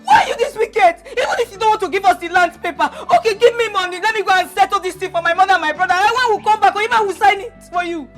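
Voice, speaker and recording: A woman plays a desperate young woman, begging her uncle through tears and breaking into shouts. Her voice sits very high. Music plays softly behind her. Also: fairly even intonation, moderate pace. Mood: accusing. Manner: crying, pleading, shouting. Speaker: actor.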